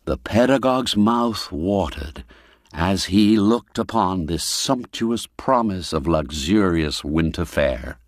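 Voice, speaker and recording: A man narrating a classic story in a measured, theatrical and expressive way. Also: low-pitched, dry recording, clean studio sound. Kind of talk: dramatic scripted story narration.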